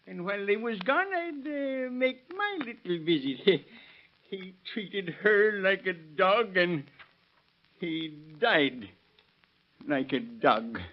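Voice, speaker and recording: An actor playing a simple-minded, lovesick, unstable rural American killer in 1930s radio style, folksy, whining and giggly. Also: male voice, high voice, lively intonation, slow. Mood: bitter confession. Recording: dull vintage 1930s radio recording.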